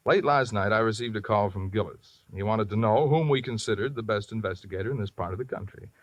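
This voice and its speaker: A man's voice: on the low side, quick pace, no echo, muffled recording, lightly acted. An American man playing a harried, earnest insurance company man in a 1950s radio drama, sounding weary and pleading.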